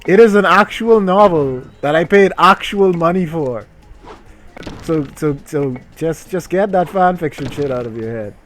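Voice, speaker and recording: A man's voice: a little high, a little slow, a bit bright. A man doing a comedic reading aloud, breaking into casual, profane, exasperated asides. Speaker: streamer reading a story aloud while playing a game. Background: music.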